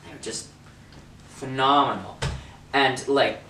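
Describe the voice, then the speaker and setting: A woman's voice: a bass voice, slow, a bit bright, recorded from a distance, full character voice. A frayed, stammering actor , voiced by a woman, whose nervous laughter keeps breaking through. The voice sits back from the mic in a roomy, reverberant space. A faint hiss runs underneath. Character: anxious male actor unraveling into hysteria.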